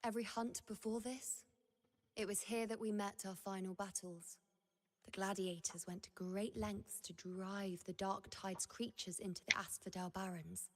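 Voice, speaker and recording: An actress playing a poised, ominous huntress in a video game with dramatic delivery. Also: female voice, mid-range voice, steady tone, moderate pace, in a large hall, slight background noise, natural voice. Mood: ominous, savoring.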